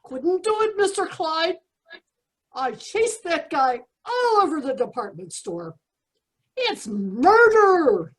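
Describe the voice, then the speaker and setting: A woman acts out a slangy, put-upon process-server fellow from a radio comedy. The voice is extremely high and bouncy, complaining in a streetwise way. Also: sing-song, slow pace, heavily acted, poor audio. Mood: fed up. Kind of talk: old-time radio comedy acting.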